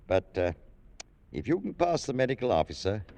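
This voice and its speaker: A man's voice: mid-range voice, very animated, normal speed, creaky, a little mellow, dry recording. An actor playing an indulgent older army colonel in an old-time radio drama, hesitant and avuncular as he hems and haws. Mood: bemused, indulgent. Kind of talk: 1940s-50s radio drama acting.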